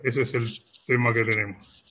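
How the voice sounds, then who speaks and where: Bright, forceful man, halting delivery. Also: medium pitch, fairly even intonation, breathy edge, highs cut off. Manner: halting, fragmented sentences. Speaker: panel speaker. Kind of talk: unscripted panel talk. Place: indoor room, video call.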